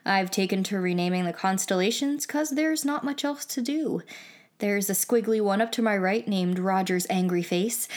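An actress playing a playful, mischievous watchtower traffic reporter who chats and teases on air in an audio drama. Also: female voice, mid-range voice, normal speed, close to an everyday voice. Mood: breezy. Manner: teasing, flippant, theatrically wry. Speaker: traffic reporter on a night radio broadcast. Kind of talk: audio drama acting, chatty radio broadcast.

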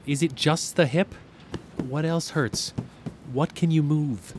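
A man's voice: on the high side, steady tone, takes it slowly, slight background noise. A man playing a cheery, flustered, eager-to-please meal delivery man in an audio comedy drama, singsong and fussing. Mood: flustered, concerned.

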